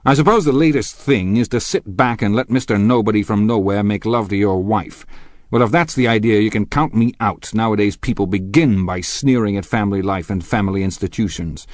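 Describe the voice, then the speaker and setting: A man voicing a pompous, blustering husband in an audiobook, incredulous, scornful and quick to snap. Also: on the low side, big pitch swings, no room sound, studio quality, voice pushed up. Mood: indignant. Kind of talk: audiobook character dialogue.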